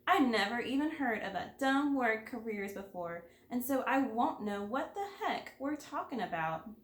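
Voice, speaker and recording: An outspoken little kindergarten girl from a storybook, acted out by a woman reader. She is blunt, grumpy and emphatic, with a high pitch, and the room echoes around her. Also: expressive, normal speed, natural voice. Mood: worried, blunt.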